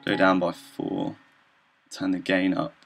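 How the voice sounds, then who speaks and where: Low-voiced young man, hesitant presenter. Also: flat delivery, creaky, loud music behind the voice. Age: young adult. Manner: hesitant, halting, loosely explanatory. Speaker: student presenter. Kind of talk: informal software demonstration.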